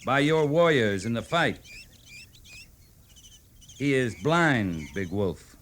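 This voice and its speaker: A steady cavalry captain from a vintage radio Western. He is a man with a middle-pitched voice who speaks slowly and gravely. His delivery is deliberate and firm, in the manner of fifties radio drama. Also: slight background noise. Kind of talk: 1950s radio Western acting.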